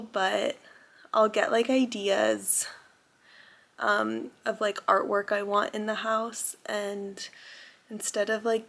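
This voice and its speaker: Young woman vlogging, raspy from a cold, gravelly bits, chatty and says like a lot. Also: medium pitch, fairly bright tone. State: recovering from a cold, a little raspy. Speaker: lifestyle vlogger. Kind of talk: casual chat to camera.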